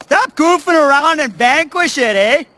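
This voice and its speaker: A man's voice: very high voice, animated, moderate pace. A man voicing a dim, starstruck young male pony in a cartoon, with a goofy, eager manner. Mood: eager, admiring. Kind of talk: animated cartoon acting. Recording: loud music behind the voice.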